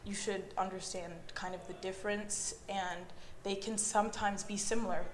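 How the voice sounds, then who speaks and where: A woman's voice: medium pitch, steady tone, normal speed, reverberant, a little hiss or hum. A woman giving an earnest interview answer and explaining her views carefully. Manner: earnest, explanatory, acts out others' remarks.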